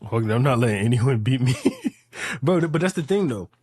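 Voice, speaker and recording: A man's voice: medium pitch, animated, normal speed, a little mellow, slightly rough, dry recording, studio quality. A man in his late twenties chatting casually and rambling through hypotheticals, repeating phrases emphatically with profane slang. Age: late 20s.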